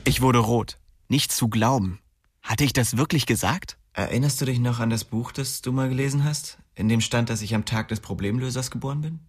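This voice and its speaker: A man's voice: mid-range voice, fairly quick, slightly rough, dry recording, clean studio sound, natural voice. An actor playing a lovestruck, restless young man who narrates his small-town life in an intimate, slangy, wry way in a radio drama. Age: young adult. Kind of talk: radio drama first-person narration and dialogue.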